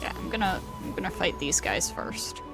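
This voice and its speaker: A woman's voice: lowish voice, huge pitch swings, normal speed, creaky. A woman game streamer giving casual commentary as she plays. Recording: game music behind.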